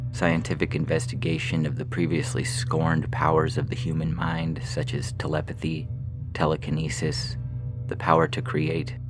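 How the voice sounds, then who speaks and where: A very low-pitched man narrates literary fiction with a gravelly, creaky edge. His reading is measured and formal, and music plays clearly beneath his voice. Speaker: story reader. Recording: music bed under the voice.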